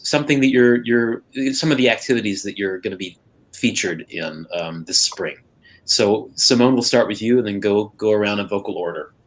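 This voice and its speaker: A man hosting a casual group chat, speaking haltingly and often repeating and rephrasing his words. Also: fairly low pitch, fairly bright tone, rough recording. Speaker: host, opera maestro.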